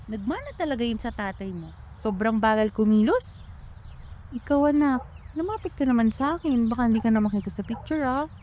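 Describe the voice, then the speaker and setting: A woman's voice: fairly high pitch, lively intonation, brisk, a little mellow, lightly acted. A woman with a Filipino accent playing a doting but careless, teasing mother in a radio drama. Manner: chatty, playful, nagging. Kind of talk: radio drama acting in casual family banter.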